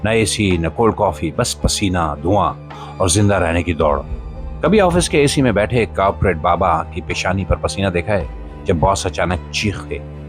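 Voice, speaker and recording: A man narrates a scripted spoken-word monologue in a solemn, emphatic, rhetorical manner, with short pauses between phrases. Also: deep voice, expressive. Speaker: podcast host and voiceover narrator. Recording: voice-over with music behind.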